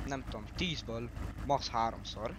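Male gamer under clear background noise. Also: mid-range voice. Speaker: fellow player in an online roleplay game.

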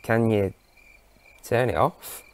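A man's voice: fairly low pitch, slow, gravelly. A man playing a bewildered, eager young male farmer in an audio drama, startled and questioning in manner. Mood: astonished.

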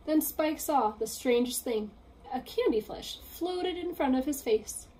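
A young woman reads a children's storybook aloud as a teacher would. Her voice is high, and she takes the text slowly. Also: animated, dark tone, low-quality recording. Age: young adult. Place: small room at home, indoors.

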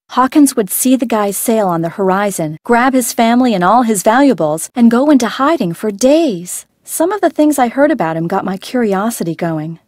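A woman's voice: fairly high pitch, a little mellow, no echo, clean studio sound, natural voice. An actress voicing a young woman scientist on an island in a game, earnest and a little frazzled. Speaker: game character. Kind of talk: video game voice acting.

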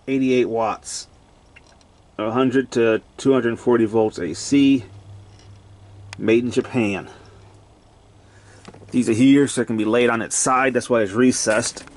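A man giving a casual hands-on equipment walkthrough in short, clipped, matter-of-fact phrases, recorded indoors on a handheld camera. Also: medium pitch. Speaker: gadget demonstrator. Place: indoors, cluttered home room.

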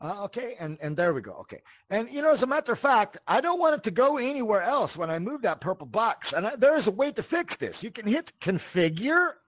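Male tutorial host, high voice, lively intonation, loose rambling explanations with repeated words and check-in questions. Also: brisk, few pauses, no echo. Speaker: host of a video game tutorial channel. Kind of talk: casual spoken tutorial with asides.

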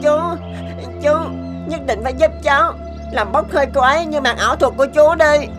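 A woman's voice: high voice, brisk, music in the background, lightly acted. A woman voicing a determined, scheming young schoolchild in a cartoon, pushy and insistent. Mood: desperate, insistent. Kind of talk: cartoon dubbing.

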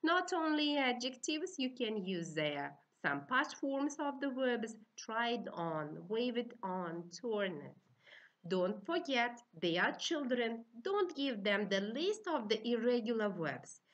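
A middle-aged woman with an Uzbek accent, lecturing to trainee teachers in simple, halting, instructive phrases. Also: on the high side, sing-song, highs cut off. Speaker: university teaching-methods lecturer.

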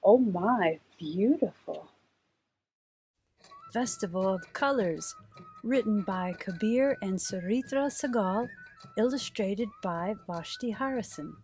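A woman's voice: mid-range voice, lively intonation, takes it slowly, warm tone, soft background music. A middle-aged woman hosting a children's story time, reading aloud expressively and putting simple questions to her young listeners.

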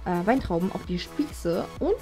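A young woman hosting a DIY video, chatting casually and excitedly to her viewers in a kitchen. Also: on the low side, normal speed, soft background music. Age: young adult. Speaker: host of a DIY video channel. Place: indoors, studio kitchen.

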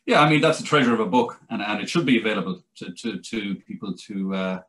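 Low-voiced Irish man, rambling. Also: expressive, quick pace, a bit bright. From Ireland. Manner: rambling, many restarts and "you know". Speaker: translator and author. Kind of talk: conversational interview over video call. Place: small indoor room, plain wall. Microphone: video call webcam.

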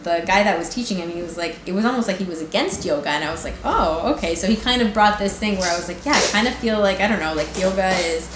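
A woman in her thirties from Canada telling a personal story in a casual, halting way, with lots of filler phrases. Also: mid-range voice. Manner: informal and halting, peppered with "like" and "you know". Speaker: guest giving a personal testimony. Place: indoor room with fireplace, seated in armchair. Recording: roomy echo with faint background noise.

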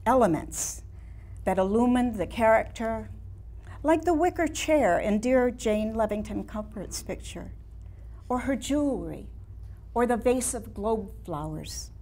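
A woman in her sixties with an American accent performing a staged monologue as a wistful, reflective older painter looking back in measured, nostalgic phrases. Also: fairly high pitch, lively intonation, darkish tone, exaggerated voice. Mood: fond reminiscing. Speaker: playwright performing a staged reading. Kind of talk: theatrical monologue reading. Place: small studio room with seated audience.

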